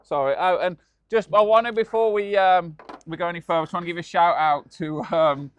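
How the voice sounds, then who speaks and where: Host, Birmingham accent, slightly raised pitch, relaxed running commentary while working. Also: male voice, brisk, clear, resonant tone, dull audio. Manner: chatty, laughing, explaining while working. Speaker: channel host. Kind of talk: casual workshop chat. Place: truck workshop.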